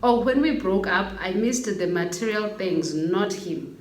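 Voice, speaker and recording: A woman's voice: mid-range voice, unhurried. A young adult woman with a South African accent, hosting a casual chat and reading out viewer stories, laughing often. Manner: lively, laughs often, code-switches into Xhosa. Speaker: host. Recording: echoey room, faint background noise.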